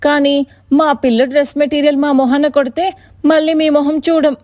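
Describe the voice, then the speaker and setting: A high, dry-sounding voice of an angry village woman, acted by a woman for a cartoon moral story. She is taunting and sarcastic, scolding like a customer who has been let down. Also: level tone, moderate pace, a little mellow, no echo, natural voice. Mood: scornful scolding. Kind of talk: animated moral story dialogue.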